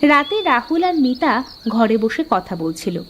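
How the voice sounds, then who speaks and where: A woman's voice: sits high, big pitch swings, measured pace, a little hiss or hum. A woman reading scripted fairy-tale narration for a cartoon as its storyteller.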